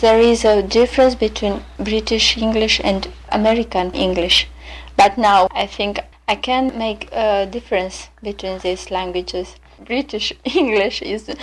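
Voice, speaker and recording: A woman from Romania, a native Romanian speaker with a Romanian accent, talking about herself in English in a hesitant, halting way with many pauses and fillers. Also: mid-range voice, low-quality recording. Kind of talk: unscripted personal monologue for a listening exercise.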